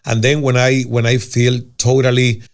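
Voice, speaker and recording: A man's voice: medium pitch, flat delivery, moderate pace. Casting note: male host, Cuban Spanish accent, native Spanish speaker, earnest and emphatic, mid-range voice. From Cuba. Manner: earnest, emphatic, with frequent restarts. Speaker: podcast host. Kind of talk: casual conversational interview.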